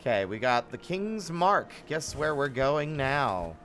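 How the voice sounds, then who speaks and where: A man's voice: medium pitch, very animated, takes it slowly, fairly bright tone, soft background music. A man livestreaming a game, chatting casually with playful, self-interrupting, sweary commentary.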